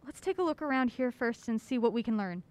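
A woman playing an anxious, urgent heroine in a mystery audio drama, excitable and insistent. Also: fairly high pitch, fast, no echo, lightly acted. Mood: focused, uneasy. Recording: clearly noisy audio drama track.

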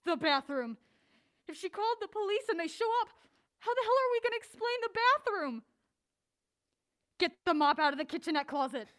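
A woman's voice: very high-pitched, very animated, a little fast, pauses often. A woman playing an anxious, mild-mannered character in an audio drama, skeptical and easily exasperated. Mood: exasperated, urgent. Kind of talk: naturalistic audio drama acting.

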